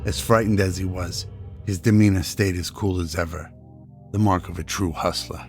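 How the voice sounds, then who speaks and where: A man's voice: sits low, steady tone, normal speed, soft background music. A man narrating a radio drama in a scripted, dramatic storytelling style.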